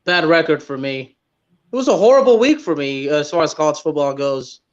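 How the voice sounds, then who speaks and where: Male sports co-host, about thirty, higher voice, casual blunt chat, somewhat lo-fi audio. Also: a little fast. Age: late 20s to early 30s. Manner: blunt, repeats himself for emphasis.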